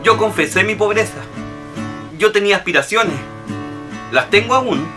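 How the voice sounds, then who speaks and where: An actor playing a meek middle-aged husband and amateur inventor in a radio drama, hesitant and apologetic. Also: male voice, high-pitched, animated, moderate pace, over music. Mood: meek, wounded.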